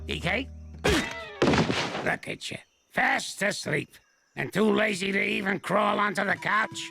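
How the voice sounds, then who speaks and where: An actor playing a grumpy elderly male ape inventor with a gravelly, creaky old voice, gruff and bossy. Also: high voice, a little slow. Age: older. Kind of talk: cartoon voice acting. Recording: music playing underneath.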